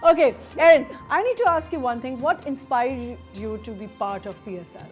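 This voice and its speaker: A woman's voice: high-pitched, animated, normal speed, soft background music. Effusive woman morning show host. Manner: effusive, complimentary, repeats the guest's words. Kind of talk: casual TV interview chat.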